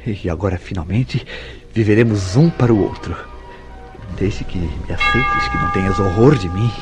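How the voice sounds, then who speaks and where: A man performs a jealous, remorseful Brazilian man in a radio melodrama. His voice sits fairly low, his speech is formal and haltingly anguished, and music plays faintly behind him. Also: close to an everyday voice. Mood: anguished, confessional. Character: guilt-ridden, jealous husband-lover who confessed to murder. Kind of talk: melodramatic radio soap acting.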